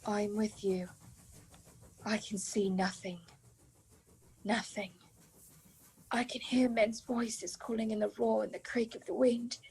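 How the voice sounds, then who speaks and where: An actress in a radio play voicing a fragile, eerie young woman speaking slowly from a trance, her breathy voice drifting toward whispers. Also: mid-range voice, fairly even intonation, a little mellow, full character voice, rough recording. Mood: entranced, eerie.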